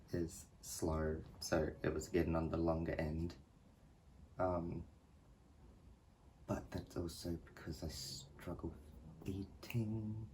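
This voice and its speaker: A young man talking softly and hesitantly in a personal vlog, with small laughs between thoughts. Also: low-pitched, a little hiss or hum, rough recording. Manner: soft, hesitant, giggly. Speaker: vlogger.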